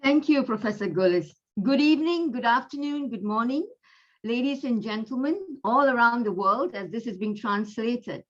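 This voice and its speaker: A woman from Malaysia with a Malaysian accent, giving an online medical lecture in an unhurried, chatty way with fillers, over a video call. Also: fairly high pitch, expressive. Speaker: guest lecturer, gynecologist. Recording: dull lo-fi audio.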